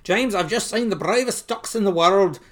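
Man doing a pompous, excitable old rich duck. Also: high voice, normal speed, pitched above the performer's own voice. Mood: impressed. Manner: grandiose, exclamatory.

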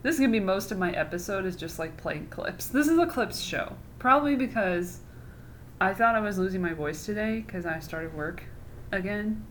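A woman's voice: medium pitch, expressive, moderate pace, slight background noise. A woman hosting her own podcast in casual chat, sarcastic and mocking, swearing freely as she talks. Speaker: podcast host.

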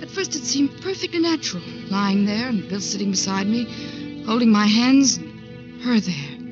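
A woman's voice: medium pitch, expressive, moderate pace, lightly acted. An actress playing an anxious, lovestruck woman who narrates her ordeal in an earnest, melodramatic 1940s radio drama style. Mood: haunted, tense. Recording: muffled 1940s radio recording with music.

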